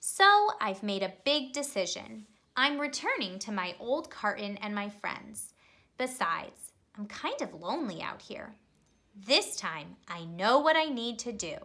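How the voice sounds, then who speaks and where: A woman reading a children's picture book aloud, telling it expressively and pausing to ask young listeners questions. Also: medium pitch, very animated, sharp tone. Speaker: storybook reader.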